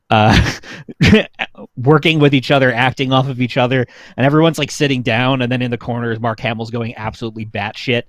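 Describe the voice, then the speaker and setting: A man's voice: on the low side, no room sound, studio quality. An American man in his thirties co-hosting a casual chat, explaining things in an easygoing way. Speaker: podcast co-host.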